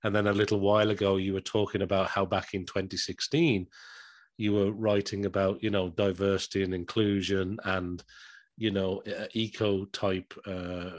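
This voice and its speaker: Male host, low voice, dry close sound, chatty questions full of fillers. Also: level tone, normal speed, no echo. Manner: friendly, rambling with fillers. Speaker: interviewer host. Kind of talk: interview questions.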